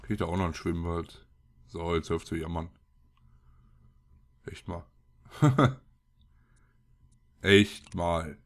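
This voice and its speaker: A man's voice: low-pitched, slow pace, long pauses. A man with a cold and a scratchy throat, giving casual, colloquial gameplay commentary as he mutters to himself. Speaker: let's play host.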